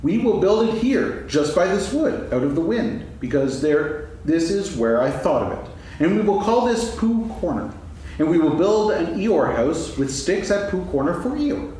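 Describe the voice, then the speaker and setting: Echoey, distant stage recording. Simple-hearted storybook bear played by a man, earnest and musing, highly animated delivery. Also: normal speed. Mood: thoughtful, earnest. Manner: earnest, musing, hums in singsong. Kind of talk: staged dramatic reading of a children's story. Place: stage before an audience, seated reading.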